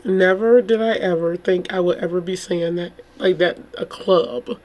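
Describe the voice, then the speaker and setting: Woman youtuber w a bit of a hoarse voice, chatty rambling commentary, real informal. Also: on the low side, steady tone, moderate pace, darkish tone. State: slightly hoarse. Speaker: YouTube true crime host. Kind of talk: casual chatty commentary to camera.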